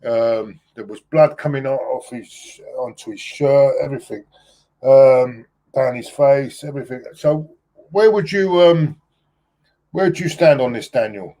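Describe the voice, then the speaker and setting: A man of middle pitch hosts an easygoing football talk show. He reads news aloud and turns questions to his guest, pausing and restarting with plenty of "um" and "uh". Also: dark tone, low-quality recording. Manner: halting, many fillers, asks guest questions. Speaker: host. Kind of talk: casual football chat, reading news aloud.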